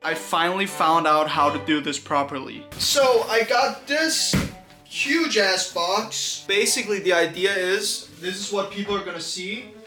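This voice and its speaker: A man's voice: high voice, measured pace, pushed and bright, faint music behind. A man who streams, narrating a vlog in a casual, self-deprecating way.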